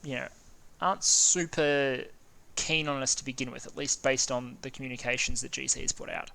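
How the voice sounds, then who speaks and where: A man's voice: medium pitch, steady tone, moderate pace, creaky, pushed and bright. A man with an Australian accent casually talking through a strategy game, thinking aloud and stumbling over names. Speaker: gaming video host.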